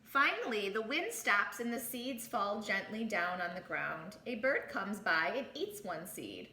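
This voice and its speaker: Lady teacher reading a kids picture book, really lively pitch, echoey room. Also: unhurried, a little hiss or hum. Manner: animated, exclaims and comments on the story. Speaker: teacher reading aloud to children. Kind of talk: expressive storybook read-aloud with asides.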